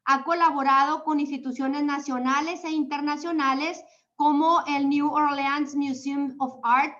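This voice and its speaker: A woman hosting for a museum, formally reading a guest's introduction in a steady voice with a few fillers. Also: high-pitched, a little slow. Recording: dull lo-fi sound.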